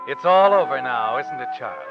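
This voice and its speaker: An actor playing an ominous, taunting unseen narrator in 1940s suspense radio style. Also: male voice, mid-range voice, big pitch swings, a little fast, lightly acted. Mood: sly, ominous. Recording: muffled old radio with music underneath.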